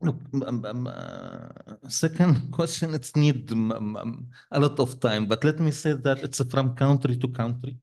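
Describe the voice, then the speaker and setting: Panel speaker, male, non-native English, halting and searching for words, dark mellow tone. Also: medium pitch, very animated, gravelly. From Syria. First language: Arabic. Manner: halting, many restarts and fillers, non-native grammar. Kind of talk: panel discussion answer. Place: event hall.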